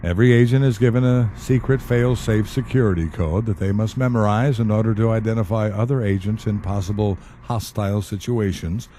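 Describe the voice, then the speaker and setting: An actor in an audio play as a calm, wry government specialist, speaking in a measured, matter-of-fact and dryly polite way. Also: male voice, lowish voice, slight background noise, natural voice. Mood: wry, explanatory. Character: calm, wry male government specialist who questions the dead. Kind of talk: audio drama acting.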